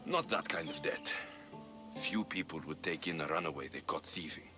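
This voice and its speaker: A man's voice: very deep voice, moderate pace. An actor playing a blunt, sardonic young male mercenary in a video game cutscene, with music behind him. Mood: subdued, reluctant. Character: gruff young male mercenary, wry and impatient.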